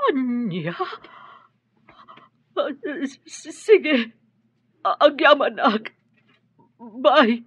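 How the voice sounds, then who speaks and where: An actress playing an elderly grandmother grieving, speaking slowly and tearfully in a radio drama. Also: a little high, a bit bright, husky, dry recording, heavily acted. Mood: gentle. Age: older. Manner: slow, tearful. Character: elderly grandmother grieving her son abroad. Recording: dry, dull radio drama audio.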